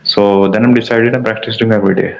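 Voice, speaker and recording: A young Indian man whose first language is Hindi, speaking English in a halting way, repeating words as he searches for phrasing. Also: fairly low pitch, fairly even intonation, vocal fry. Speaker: English learner in a practice conversation. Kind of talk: casual practice conversation. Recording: dull lo-fi audio.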